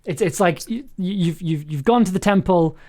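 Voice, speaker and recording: A man with quite a high-sitting voice for his sex directs a recording session on a stream. He is casual and encouraging, and hesitates as he searches for words. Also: normal speed, a little mellow.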